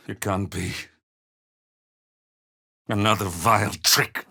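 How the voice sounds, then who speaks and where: A man playing a gentle, weary older father in a fantasy video game, speaking in measured, earnest, formal phrases. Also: low voice, vocal fry, husky, exaggerated voice. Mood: pained, disbelieving. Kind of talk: dramatic fantasy game dialogue.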